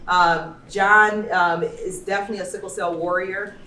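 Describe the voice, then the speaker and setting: A woman's voice: medium pitch, steady tone, moderate pace, bright, forceful tone. A woman moderating a panel informally, chatty, personal and effusive as she introduces people. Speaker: panel moderator and event host. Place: indoor event venue, amplified panel talk. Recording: echoey room, distant mic, faint noise.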